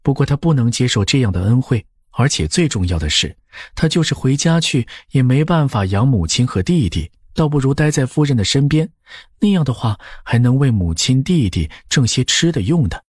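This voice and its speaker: Husky male storyteller with lively intonation. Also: mid-range voice, normal speed, dry recording, studio quality. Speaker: audiobook narrator. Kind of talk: scripted storytelling narration of a historical novel.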